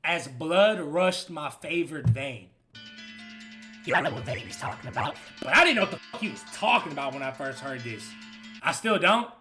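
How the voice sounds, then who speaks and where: A man's voice: fairly high pitch, expressive, normal speed. A man in his twenties giving a rambling, casual reaction to an album, swearing loosely as he talks. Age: 20s. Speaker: streamer reacting to music. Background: loud hip hop music playing.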